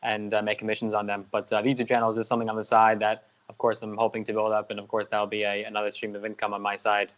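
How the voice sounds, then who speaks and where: A man's voice: on the low side, completely flat delivery, quick pace, few pauses, a bit bright. A young man from the States, a guest answering interview questions steadily, with many "uh" and "you know" fillers. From United States. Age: young adult.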